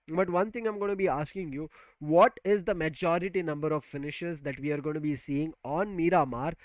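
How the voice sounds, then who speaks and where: An excitable male esports caster pumps up the audience over a headset on a remote call. His voice sits a little high for a man. The sound is close and dry, with no room around it. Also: no echo, rough recording. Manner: excitable, loud, building hype. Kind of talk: live esports commentary.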